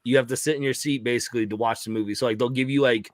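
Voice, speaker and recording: A man's voice: mid-range voice, fairly even intonation, rapid-fire, dry recording, clean studio sound. A man in casual conversation who repeats his points for emphasis. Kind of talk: casual chat.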